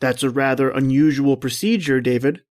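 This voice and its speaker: Radio drama executor male actor: stiffly formal, deliberate, dutiful gentleman, very little room sound. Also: medium pitch, no echo, studio quality, close to an everyday voice. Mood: formal, measured. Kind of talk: old-time radio drama acting.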